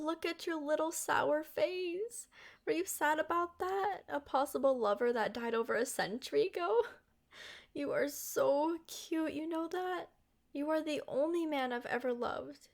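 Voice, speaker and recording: A woman voicing a centuries-old, doting and possessive vampire girlfriend in an intimate, coaxing ASMR roleplay. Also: sits high. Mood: teasing, adoring. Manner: coaxing, affectionate, speaking directly to a lover.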